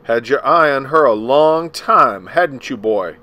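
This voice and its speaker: A man's voice: medium pitch, animated, slow pace, pushed and bright, voice pushed up. A man voicing a cold, scornful prosecutor who presses a witness with contempt. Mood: sneering.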